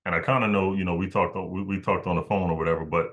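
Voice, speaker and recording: A man with a low voice speaks as a podcast host. His casual interviewing is halting and meandering, with words often repeated as he works toward his point. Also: steady tone, fairly bright tone.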